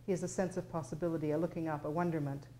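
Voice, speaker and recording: A woman reading the part of an airy, excitable photographer who commands those around her, her voice lifting as she explains. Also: deep voice, racing, slight background noise, close to an everyday voice, rough recording. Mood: earnest, rapt.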